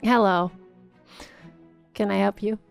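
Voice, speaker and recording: A slightly hoarse woman with an Australian accent, chatting casually through a Minecraft let's-play. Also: mid-range voice, fairly even intonation, slow, faint music behind. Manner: chatty, bubbly, cooing over things. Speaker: Minecraft YouTuber.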